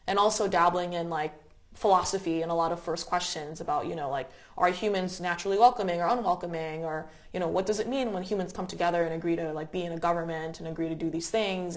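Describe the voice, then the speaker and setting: A young woman in her twenties from the United States, telling personal stories in a thoughtful, explanatory way as an interview guest. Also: on the low side. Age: 20s. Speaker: interview guest, refugee resettlement advocate. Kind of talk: reflective personal storytelling in conversation.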